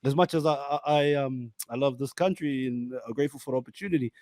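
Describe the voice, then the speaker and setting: A young man hosting a casual podcast interview, slangy, animated and quick to laugh. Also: mid-range voice, no echo. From New Zealand. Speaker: podcast host.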